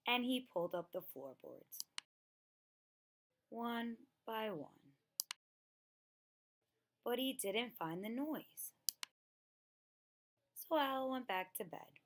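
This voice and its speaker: A woman reading a children's picture book aloud in an expressive, deliberate storytelling manner. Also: a little high. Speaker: storybook reader. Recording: noticeable background noise, clicks.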